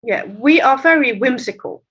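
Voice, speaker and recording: A woman translator whose first language is Cantonese, explaining her work at length in a relaxed interview, with light laughs. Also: high voice, moderate pace, slightly rough. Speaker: literary translator, guest. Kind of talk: conversational interview.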